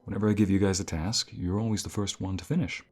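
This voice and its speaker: Guy doing a kind college professor for asmr, deep slightly breathy voice, gentle n calming. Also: quick pace, close to an everyday voice. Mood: caring, encouraging. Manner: calm, reassuring, gentle. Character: caring male university professor comforting a burnt-out student. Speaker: voice actor. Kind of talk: ASMR roleplay.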